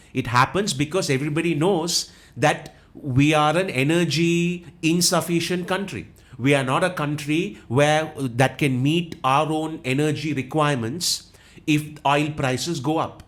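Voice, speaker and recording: A man from Sri Lanka with a Jaffna accent, explaining world affairs as an interview guest in an analytical, repetitive way with many restarts. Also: a little high. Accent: Jaffna Tamil-influenced Sri Lankan English. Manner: explanatory, repeats himself, frequent restarts.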